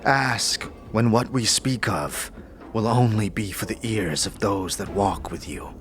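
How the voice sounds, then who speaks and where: Man acting a very slow, guarded stoic warrior. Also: lowish voice, natural voice. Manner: formal, halting, weighty. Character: grave, guarded, stoic warrior companion in fantasy tale. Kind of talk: fantasy audio drama acting. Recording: music behind the voice.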